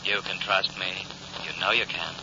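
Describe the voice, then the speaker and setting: Actor as a suave gentleman swindler, muffled. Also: sits low, steady tone, moderate pace, lightly acted. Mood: apologetic, persuasive. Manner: courteous, smooth-talking, ingratiating. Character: suave, gentlemanly con man and secret poisoner. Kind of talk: 1940s-50s radio drama acting. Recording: dull old radio broadcast, background noise.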